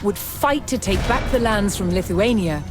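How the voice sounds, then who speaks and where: A woman giving scripted, documentary-style narration for a historical strategy game, measured and formal in her storytelling. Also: medium pitch. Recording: music behind the voice. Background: music.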